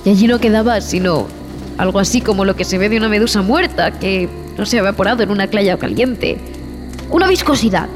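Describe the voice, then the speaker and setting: An actress as a shaken woman scientist recounting horrors in an audio drama. Her delivery is deliberate and theatrical, with dramatic pauses and a fearful, repulsed temper. Long reverb surrounds the voice, and music plays behind it. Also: mid-range voice, lively intonation, natural voice. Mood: haunted, disgusted. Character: frightened, repulsed female expedition zoologist recounting horrors. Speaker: narrator and lead actress.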